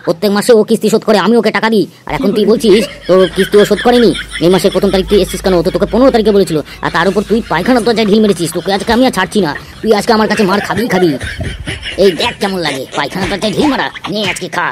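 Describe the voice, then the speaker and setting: A man's voice: high-pitched, racing, background noise, natural voice. A man voicing a blustering, scheming village husband in a homemade comedy cartoon. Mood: furious. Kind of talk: amateur comedy cartoon dub.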